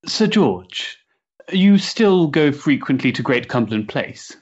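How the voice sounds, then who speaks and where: An actor playing a nervous, self-dramatising young Victorian gentleman with an effusive, theatrical manner in a period drama. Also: big pitch swings, slow, breathy edge, highs cut off, exaggerated voice. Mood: earnest. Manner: effusive, highly strung, theatrical. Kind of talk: period stage drama acting.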